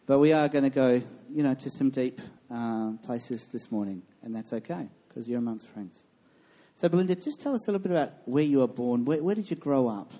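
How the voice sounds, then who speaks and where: Man, quick pace, mellow dark tone, encouraging church host. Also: medium pitch, expressive. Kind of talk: on-stage interview.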